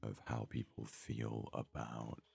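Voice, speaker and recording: Very deep, gravelly ASMR man. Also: monotone, slow pace, a little mellow. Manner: hesitant, rambling, thinking aloud. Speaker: gaming video host. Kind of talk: whispery soft ASMR let's play commentary. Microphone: close, highly sensitive microphone.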